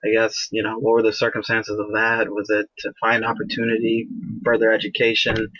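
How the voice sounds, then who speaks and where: Podcast host, a man from Canada with a lowish voice; rambling, restarts a lot, dry, muffled sound. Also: monotone, brisk, fairly bright tone, dry recording. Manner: rambling, with many restarts and "you know". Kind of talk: casual podcast interview.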